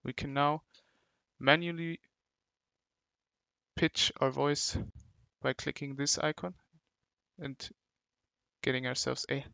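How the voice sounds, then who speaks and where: A man narrating a step-by-step software tutorial in short, halting instructional phrases into a close mic. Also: medium pitch, fairly even intonation, gravelly, dry recording. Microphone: close mic, screen-recording voice-over.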